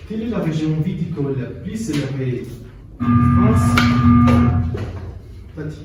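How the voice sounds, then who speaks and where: A man plays a quiz show host with a slightly high voice, speaking in halting, deliberate phrases. He sounds far from the mic in a very reverberant space. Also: animated, loud music behind the voice. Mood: presenting. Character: male quiz show host questioning contestants. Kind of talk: scripted amateur quiz show dramatisation. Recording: very echoey, distant, loud background noise.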